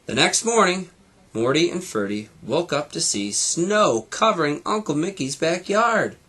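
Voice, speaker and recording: A man's voice: on the high side, animated, measured pace, sharp tone, breathy edge. A man narrating a children's storybook expressively, like a teacher reading to his students.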